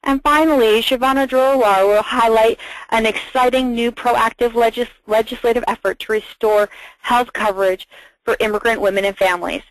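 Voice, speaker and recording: A woman's voice: a little high, fairly even intonation, normal speed, no echo, low-quality recording. A woman moderating a webinar, reading housekeeping notes and speaker introductions in a careful, scripted way with small restarts.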